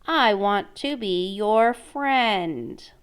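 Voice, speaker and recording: A woman voicing a friendly, polite male frog from a storybook, speaking in a drawn-out way. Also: medium pitch, lively intonation, clean tone. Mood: earnest.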